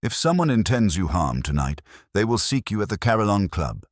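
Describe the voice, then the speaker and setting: A man voicing a commanding, unhurried genius detective who gives formal, measured orders in an audiobook. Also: fairly low pitch, sing-song, no room sound, clean studio sound, pitched above the performer's own voice. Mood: firm, final.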